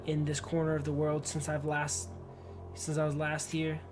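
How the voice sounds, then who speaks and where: A man's voice: a little high, monotone, normal speed, music in the background. A man livestreaming a game, reading its story text aloud and chatting in slang, stumbling over long words. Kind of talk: narrating game text, casual chat with viewers.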